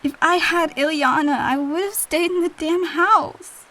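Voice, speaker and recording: A woman's voice: very high-pitched, measured pace, sharp tone, exaggerated voice, poor audio. A woman playing an outspoken, sardonic teenage girl with a blunt, informal delivery in an audio drama. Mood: bitter.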